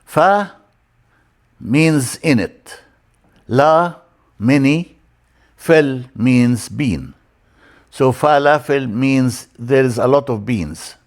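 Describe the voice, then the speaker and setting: An older man from Egypt, a native Arabic speaker with an Egyptian accent, teaching in an informal lecture style with a halting, deliberate delivery. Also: medium pitch, expressive. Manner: halting, explanatory, with deliberate word-by-word emphasis. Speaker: church language teacher.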